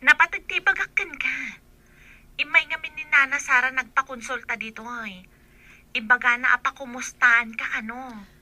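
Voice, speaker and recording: A woman plays a concerned and curious friend in a radio drama. Her voice is high and piercingly bright, with an inquisitive manner. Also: normal speed, no echo.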